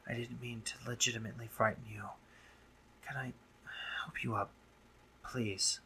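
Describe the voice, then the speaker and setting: A man playing a brooding, sardonic drider monster, a guarded but caring doctor, in an intimate roleplay audio drama, speaking formally with halting pauses. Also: medium pitch, fairly even intonation, pushed and bright, slight background noise. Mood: subdued, sorrowful.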